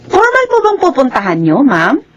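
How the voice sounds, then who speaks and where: Timid, polite young Filipino maid: high voice, earnest radio drama acting, slightly muffled. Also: female voice, huge pitch swings, normal speed.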